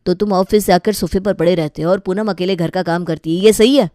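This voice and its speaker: A woman's voice: lowish voice, fairly quick, mellow, no room sound, clean studio sound, natural voice. A woman voicing a fed-up young husband in a family story, speaking firmly and indignantly. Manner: indignant, firm. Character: fed-up, principled young husband defending his wife.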